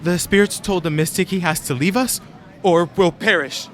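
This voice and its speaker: A man's voice: high voice, moderate pace, a little hiss or hum, lightly acted. A man playing an earnest, reverent islander who is devoted to a mystic, in a comedic audio drama. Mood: dismayed.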